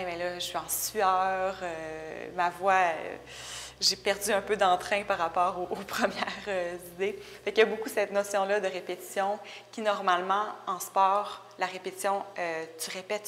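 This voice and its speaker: A woman's voice: on the low side, fairly bright tone. A young woman from Rimouski with a Québécois accent, a visual artist explaining her work in a thoughtful, searching way. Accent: Québécois French. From Rimouski, Quebec. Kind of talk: casual interview about her work. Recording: long reverb, faint background noise.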